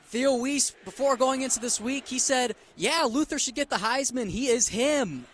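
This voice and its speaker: A man with a high voice works as a football color commentator, breaking down plays on a live broadcast. Faint background noise sits behind him. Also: normal speed, fairly bright tone. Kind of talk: live sports analysis between plays.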